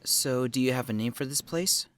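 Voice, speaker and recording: A man's voice: medium pitch, moderate pace, fairly bright tone, a little hiss or hum, lightly acted. A man playing a young former police officer in an audio drama, earnest, hesitant and often puzzled. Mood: curious, hesitant. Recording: background music under the voice.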